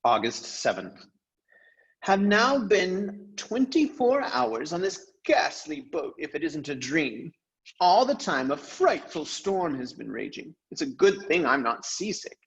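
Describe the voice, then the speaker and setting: A man acts out a priggish schoolboy from a storybook, indignant and full of himself. The voice is pushed up high and swings about as he pompously complains into his diary. The sound is a little muffled and lo-fi. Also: big pitch swings, slow pace, gravelly, exaggerated voice. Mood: indignant, whiny. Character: priggish, whining, self-important schoolboy writing his diary. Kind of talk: dramatized audiobook reading.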